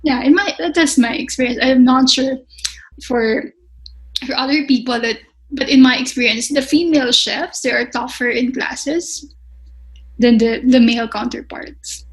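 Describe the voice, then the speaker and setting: A woman's voice: sits high, moderate pace, rough recording. A young woman chef instructor, answering podcast interview questions thoughtfully with frequent restarts. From Philippines. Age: young adult. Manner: thoughtful, hesitant with many restarts.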